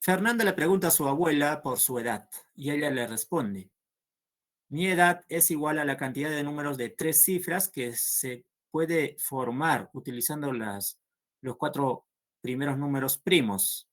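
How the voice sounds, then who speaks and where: A man's voice: on the high side, fairly bright tone. A man teaching a math class, working through problems aloud and checking with students as he goes. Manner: slow and patient, checks understanding with "¿no?".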